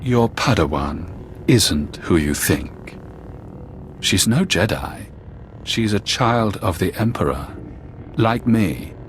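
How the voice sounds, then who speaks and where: Slow, deep, sinister Sith zealot. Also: male voice, lively intonation, slight background noise. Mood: mocking. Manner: polite, taunting, measured, theatrical. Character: sinister, smugly courteous male Sith zealot serving his Emperor. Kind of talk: scripted video game villain acting.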